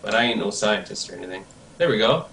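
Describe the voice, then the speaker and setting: A man's voice: fairly low pitch, animated, quick pace, a bit bright, slight background noise. A man co-hosting a casual online show, chatting and laughing often with the others. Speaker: co-host. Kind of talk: casual streamed show chat. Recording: echoey and far from the mic.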